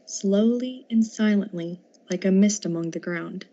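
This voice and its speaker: A woman narrating a radio drama like a storybook, with measured, literary delivery. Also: medium pitch, dark tone. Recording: muffled audio with background noise.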